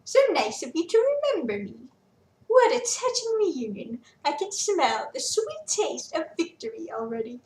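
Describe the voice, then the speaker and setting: A woman's voice: high voice, very animated, normal speed, a little mellow, slight background noise, pitched above the performer's own voice. A woman reading a storybook, putting on the voice of a cruel male Roman general who gloats and mocks, in a roomy echo from a distant mic. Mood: menacing. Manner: sinister, mocking.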